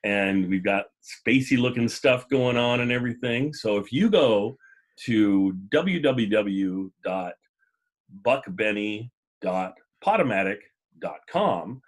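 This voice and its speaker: A man hosting a casual podcast, chatting in a rambling, jokey way with a husky voice. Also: on the low side, lively intonation, measured pace. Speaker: podcast host.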